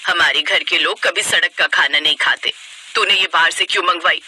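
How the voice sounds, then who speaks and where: A woman's voice: fairly low pitch, brisk, bright, forceful tone, husky, no echo, pitched below the performer's own voice, full character voice, rough recording. A woman voicing a skeptical, exclamatory older mother-in-law in a Hindi cartoon family story, with a grumbling colloquial manner. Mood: suspicious, grumbling. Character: stern, skeptical older mother-in-law who softens into pride.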